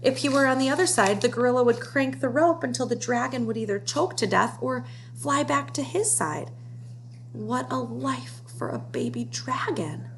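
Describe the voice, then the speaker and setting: A woman's voice: sits high, expressive, normal speed, low-quality recording. A woman narrating a children's storybook aloud as its reader. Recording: clear background noise with hum.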